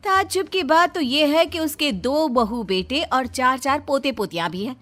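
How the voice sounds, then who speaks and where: Nosy cartoon housewife, woman performer: easily scandalised, disapproving, higher register, music bed. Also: lively intonation, normal speed, a bit bright. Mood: gossipy. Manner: disapproving, exclaiming. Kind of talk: Hindi cartoon story dubbing. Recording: music behind the voice.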